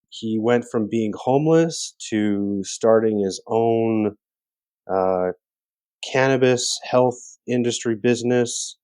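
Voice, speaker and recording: A man's voice: fairly low pitch, mellow, clear, resonant tone. A man hosting a podcast, asking questions in a hesitant way with many fillers and restarts. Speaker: podcast host. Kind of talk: casual interview.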